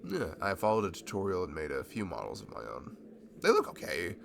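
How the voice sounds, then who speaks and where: Shy young nerd in love male actor, low and gravelly, hesitant and rambling, ASMR roleplay over audible background noise. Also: wildly swooping pitch, fairly quick, heavily acted. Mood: giddy, nerdy. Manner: rambling, hesitant, stammering, nervous laughs. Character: shy, giddy, nerdy young man, a longtime friend in love.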